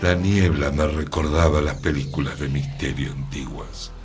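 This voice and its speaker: An actor playing an earnest, mysterious storyteller in a radio play, pausing for dramatic effect. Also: male voice, rumbling low, steady tone, over music. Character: earnest, mysterious male storyteller recounting an enchantment. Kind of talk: radio theatre acting.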